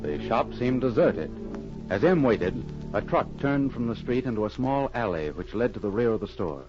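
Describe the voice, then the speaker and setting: An actor as the formal narrator of a 1940s radio spy thriller, telling the story in scripted style. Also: male voice, medium pitch, very animated, moderate pace, music in the background, natural voice. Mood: suspenseful. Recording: dull old radio recording.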